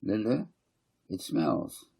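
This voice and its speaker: A cute, babyish little girl from a storybook, played by a man, dramatic and simple in speech. The voice is husky and mellow underneath. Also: medium pitch, animated, slow pace, voice pushed up, full character voice. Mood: puzzled.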